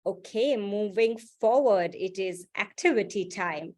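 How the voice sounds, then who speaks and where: A woman facilitating an online workshop over a video call, speaking in a brisk, friendly way with many fillers. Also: mid-range voice, a little mellow.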